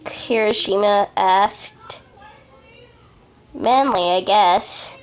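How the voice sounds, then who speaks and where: Very slow, halting amateur woman reader. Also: medium pitch. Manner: halting, stumbling over words, self-correcting. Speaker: amateur fan fiction reader. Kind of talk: halting read-aloud narration of a story.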